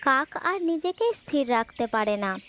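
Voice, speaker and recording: High-pitched woman narrating a children's fable. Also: very animated, measured pace, fairly bright tone, slight background noise. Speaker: storyteller.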